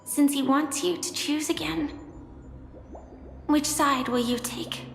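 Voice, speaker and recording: High-voiced earnest young game heroine. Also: female voice, slow, creaky, fairly bright tone, full character voice. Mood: serious. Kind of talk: video game voice acting. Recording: echoey hall reverb, music behind.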